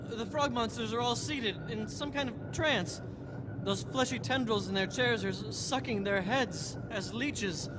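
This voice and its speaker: An actor playing a nightmare-plagued, high-strung writer in a live radio play, fretful and florid in his speech. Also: measured pace, noisy background. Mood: uneasy, apologetic.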